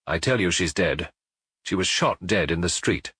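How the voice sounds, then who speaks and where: A man voicing a brisk, suspicious provincial police inspector in an audiobook, businesslike and insistent. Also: sits low, expressive, a bit bright, breathy edge, dry recording, clean studio sound, full character voice.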